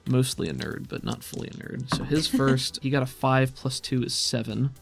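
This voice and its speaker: A man runs a tabletop RPG as game master. He narrates and referees in an explanatory, conversational manner, at an average pitch, with faint music underneath. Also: steady tone, normal speed, vocal fry.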